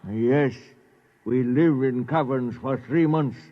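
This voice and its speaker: An actor playing a placid, courteous alien native in a 1950s radio drama, speaking halting, formal broken English. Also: male voice, medium pitch, lightly acted. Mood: courteous, regretful. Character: placid, slow-witted male alien native, courteous and fatalistic. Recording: dull old radio recording.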